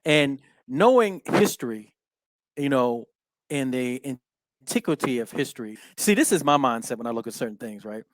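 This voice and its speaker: A man hosting a casual podcast chat, filling his talk with "you know what I'm saying" and giving steady "mm-hmm" as his guest talks. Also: medium pitch, normal speed, no echo, rough recording. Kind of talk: casual conversation.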